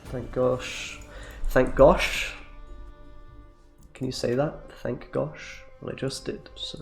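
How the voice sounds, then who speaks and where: A young man talks casually through his card game from a small room, joking and reacting out loud as he plays. His voice has a gravelly, fry-edged texture, and faint game music runs underneath. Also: mid-range voice, steady tone, takes it slowly. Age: young adult. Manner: chatty, jokey, reacts aloud to plays. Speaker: streamer. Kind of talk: casual gameplay commentary.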